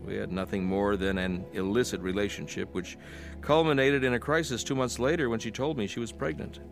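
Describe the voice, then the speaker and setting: Regretful testimony narrator, lowish voice, measured, confessional, music underneath. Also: male voice, steady tone, creaky. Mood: regretful, reflective. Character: regretful older narrator recalling his sinful youth.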